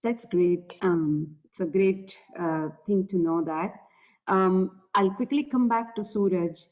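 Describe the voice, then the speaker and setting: A woman's voice: mid-range voice, takes it slowly, a little mellow, low-quality recording. A woman moderating a panel discussion, politely introducing speakers and asking questions.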